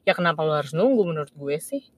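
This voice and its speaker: A young woman co-hosting a casual podcast chat, in relaxed slang with English words mixed in. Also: low voice, quick pace, no room sound. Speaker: podcast co-host.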